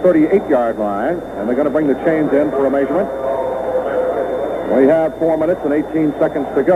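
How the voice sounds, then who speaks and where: A man's voice: fairly high pitch, phone-quality sound, heavy background noise. An American man calling live football play-by-play on an old radio broadcast. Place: stadium broadcast booth.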